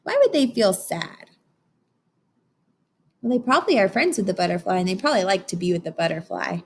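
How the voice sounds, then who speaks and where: A woman reading a children's book aloud like a teacher, expressive and instructive. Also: medium pitch, slight background noise. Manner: expressive, instructive, with dramatic pauses and hushed asides. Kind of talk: children's read-aloud with explanations and questions to listeners.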